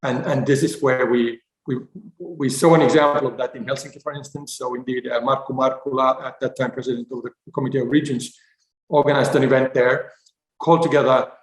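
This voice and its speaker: A man's voice: mid-range voice, steady tone. Finnish-accented man in an echoey room. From Finland. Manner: halting, tentative, repeats words. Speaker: conference speaker, climate initiative representative. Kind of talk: spontaneous remarks at an online conference.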